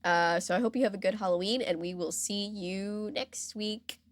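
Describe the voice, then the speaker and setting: A woman's voice: medium pitch, moderate pace, no room sound. A woman co-hosting a podcast in casual chat, with a wry, playful and informal way of talking. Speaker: podcast co-host.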